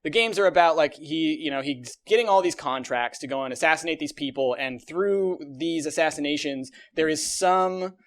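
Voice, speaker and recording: Emphatic man with a higher-pitched voice. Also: moderate pace, few pauses. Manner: emphatic, rambling, swears casually. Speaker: podcast host. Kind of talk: animated casual opinion chat.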